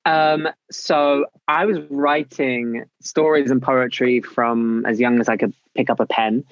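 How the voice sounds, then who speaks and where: A man's voice: medium pitch, steady tone, a little slow, dry recording. Enthusiastic man on a dull call. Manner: enthusiastic, effusive. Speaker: guest, writer. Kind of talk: casual video interview.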